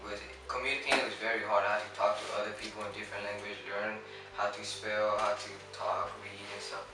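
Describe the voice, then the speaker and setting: A man whose first language is Korean, speaking haltingly in an interview, searching for words. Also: fairly low pitch, little pitch movement, heavy background noise. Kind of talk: personal interview. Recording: very echoey, distant mic, music bed.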